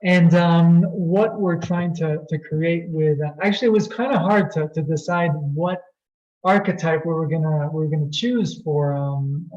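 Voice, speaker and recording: Enthusiastic mellow man on a dull call. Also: fairly high pitch, a little slow. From Colombia. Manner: enthusiastic, repeats words while searching. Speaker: retreat co-host. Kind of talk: presenting a webinar.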